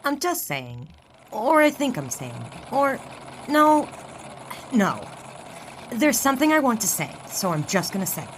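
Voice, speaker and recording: A woman playing a hesitant but pointed 1920s sweetheart in an audio drama, coy and halting in manner. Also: fairly high pitch, sing-song, a little hiss or hum. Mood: nervous, halting.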